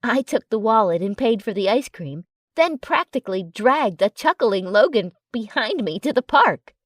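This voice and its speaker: A woman's voice: a little high, animated, moderate pace, fairly bright tone, no echo, clean studio sound. A woman reading scripted first-person fiction as an audiobook narrator.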